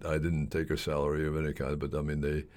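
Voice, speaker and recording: An older man with an extremely low voice talks in a loose, conversational interview style. His delivery is halting and rambling, circling back over phrases as he goes. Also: completely flat delivery, a little mellow. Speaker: surgeon interview guest.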